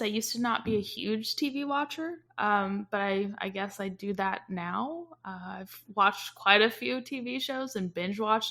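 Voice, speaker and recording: A woman's voice: medium pitch, fairly bright tone. A woman from Brazil co-hosting a book podcast, talking casually and hesitantly with sighs. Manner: hesitant, self-deprecating with sighs and laughs. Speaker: podcast co-host. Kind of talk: casual chat.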